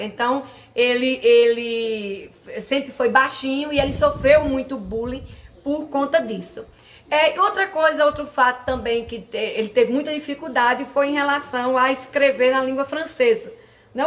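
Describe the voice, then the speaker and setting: Chatty woman explaining history. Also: sits high. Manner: chatty, fillers and restarts, affectionate greetings. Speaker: history channel host. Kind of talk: casual educational talk to camera.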